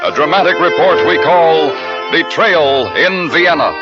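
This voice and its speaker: Man, classic radio announcer, measured; lo-fi broadcast, music under. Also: fairly high pitch, expressive. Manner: measured, stately delivery. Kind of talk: formal 1950s radio announcing.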